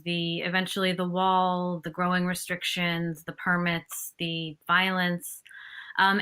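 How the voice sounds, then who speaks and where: A woman from the West Bank, in her thirties, answering interview questions in a thoughtful, measured way with frequent fillers. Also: on the low side, bright, forceful tone, smooth. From West Bank, Palestine. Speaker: guest expert.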